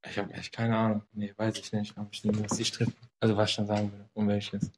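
A man's voice: lowish voice, monotone, fast talker, a little hiss or hum. A nineteen-year-old man giving short, offhand interview answers.

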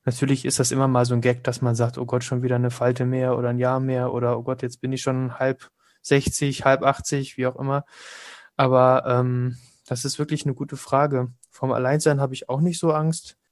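A man's voice: mid-range voice, little pitch movement, moderate pace, no room sound, studio quality. A young man answering personal questions casually, chatty with hesitant fillers. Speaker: interviewee.

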